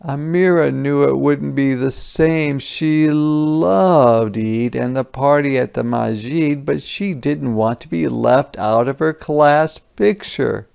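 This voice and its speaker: A man reads a children's story aloud like a teacher. His pace is slow and his mid-pitched voice has a creaky, gravelly edge. He stops to explain words in simple terms. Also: darkish tone. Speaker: teacher reading a picture book aloud.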